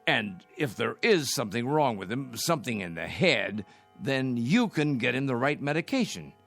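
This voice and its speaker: An actor playing a worried, stubbornly practical old man in a radio drama, with a creaky voice and a fretful, scoffing manner. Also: mid-range voice, big pitch swings, moderate pace, fairly bright tone, faint music behind, exaggerated voice. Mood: worried, indignant. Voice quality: creaky, gravelly.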